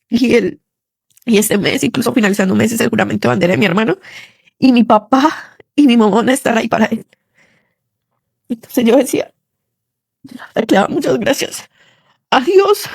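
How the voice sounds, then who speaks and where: A woman's voice: fairly high pitch, creaky, darkish tone, no room sound, clean studio sound. A young woman with a Colombian accent shares a personal testimony in an earnest, conversational way. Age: young adult. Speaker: listener sharing a testimony.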